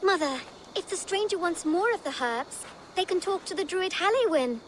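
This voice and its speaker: Curious game kid: very high, eager and blunt, with ambience behind. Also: female voice, animated, moderate pace, a little hiss or hum. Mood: curious, excited. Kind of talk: video game character acting.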